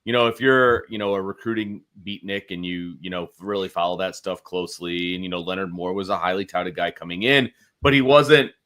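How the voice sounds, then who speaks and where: A man's voice: sits low, brisk, hardly any pauses, fairly bright tone. A man casually talking football as a sports show co-host, opinionated and conversational, repeating phrases for emphasis.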